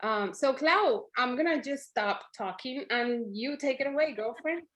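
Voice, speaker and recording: A woman's voice: sits high, animated, unhurried, fairly bright tone, a little hiss or hum. A woman hosting a team video call who introduces a guest in an upbeat, friendly way. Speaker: team leader and call host. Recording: echoey room on a video call.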